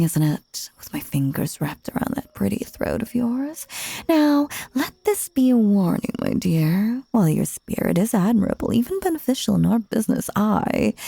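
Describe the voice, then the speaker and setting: A woman playing a sinister, possessive stage magician in a dramatic audio roleplay, with breathy, coaxing, theatrical delivery and syrupy menace. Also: mid-range voice, warm tone, no room sound, exaggerated voice. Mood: threatening, amused.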